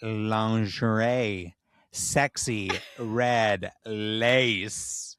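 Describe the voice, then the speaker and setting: A man's voice: lowish voice, fairly even intonation, very slow, clear, resonant tone, no echo. Man putting on a cooing, vain clown seducer. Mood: vain, seductive. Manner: hushed, cooing, emphatic enunciation. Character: flirty, vain, sultry male fast-food clown.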